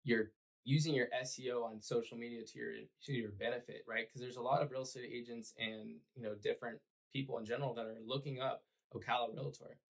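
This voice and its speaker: A man whose voice is hoarse and raspy, with a gravelly edge that drags at the ends of phrases. He speaks at a middle pitch in an earnest, relaxed podcast-chat way. He leans on fillers as he explains. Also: fast talker, rough recording. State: hoarse from cheering at a game. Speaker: realtor and podcast guest. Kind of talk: conversational podcast interview.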